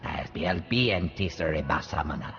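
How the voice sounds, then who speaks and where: A man's voice: rumbling low, animated, moderate pace, fairly bright tone. An actor playing an alien man in a game cutscene, declaiming dramatically in an alien tongue. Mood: dramatic. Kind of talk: video game cutscene acting. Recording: muffled, long reverb, music behind.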